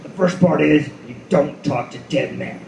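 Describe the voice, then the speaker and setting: A man's voice: fairly high pitch, moderate pace, creaky, darkish tone. A man playing a cynical, world-weary detective who narrates his own noir radio play with profane, sardonic asides. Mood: cold, menacing. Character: hardboiled cynical male detective at the world's end. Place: live reading in a roomy venue. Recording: roomy live recording with faint noise.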